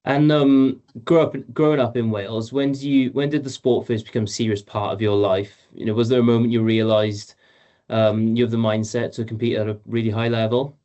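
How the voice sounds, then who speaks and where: A man's voice: mid-range voice, flat delivery, brisk, warm tone, lo-fi sound. A man co-hosting a podcast, reading a guest introduction and asking prepared questions, with some restarts. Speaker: podcast co-host.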